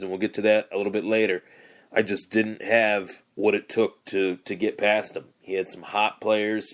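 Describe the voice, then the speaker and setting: Young man hosting a podcast solo, a bit hoarse and raspy, lowish voice, loose and rambling. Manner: rambling, with many fillers and restarts. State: hoarse from yelling. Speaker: podcast host. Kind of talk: casual solo sports podcast.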